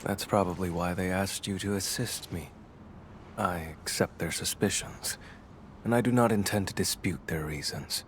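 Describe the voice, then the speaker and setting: A male actor plays a brooding vampire investigator from a video game. His voice sits very low, and he speaks in clipped, deliberate phrases with grave restraint. Faint ambient noise runs behind him. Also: lightly acted. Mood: grave, determined. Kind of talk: scripted game dialogue.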